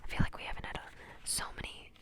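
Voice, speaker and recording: A young woman whispering softly for ASMR, reading quotes from a book aloud and adding giggly, chatty asides full of fillers, close to the mic. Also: quick pace. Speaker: ASMR creator.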